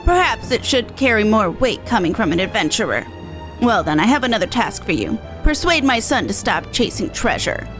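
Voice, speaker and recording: A woman's voice: a little high, very animated, moderate pace, a bit bright, faint music behind. A woman voicing a fretful, genteel elderly widow from a game, reading the dialogue with prim, old-fashioned phrasing. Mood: businesslike.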